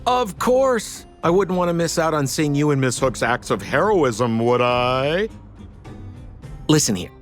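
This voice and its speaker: An actor playing a slippery, self-serving merchant rogue in a video game, theatrical and ingratiating. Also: male voice, on the high side, sing-song, unhurried, soft background music. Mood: smooth, theatrical.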